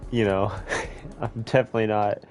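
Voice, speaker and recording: American male gaming host, lowish voice, loose rambling delivery, laughs easily, flares into rants. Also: level tone, a little mellow, faint music behind. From United States. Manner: rambling, chuckling, quick to rant. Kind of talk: casual gameplay commentary. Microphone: home recording setup.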